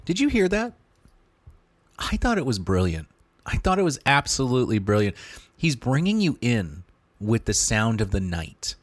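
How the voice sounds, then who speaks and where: A man's voice: medium pitch, big pitch swings, moderate pace, long pauses, no room sound. A man reviewing a podcast in casual commentary, enthusiastic and often repeating and restarting words.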